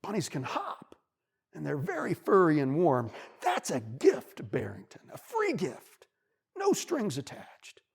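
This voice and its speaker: A storyteller playing a massive, wise male silver wolf who speaks calmly and reassuringly, with a raspy, gravelly voice. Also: medium pitch, unhurried, heavily acted. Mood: gentle.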